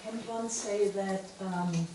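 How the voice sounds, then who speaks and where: A woman's voice: mid-range voice, fairly even intonation, slow. A woman student asking a question hesitantly, searching for her words. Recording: echoey room, distant mic, noisy.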